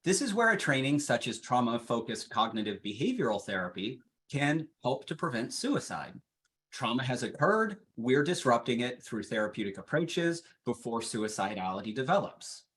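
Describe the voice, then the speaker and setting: A man delivers a training webinar from his slides. His voice sits at an ordinary middle pitch, and he reads at a steady, even pace. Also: normal speed, slight background noise. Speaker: presenter.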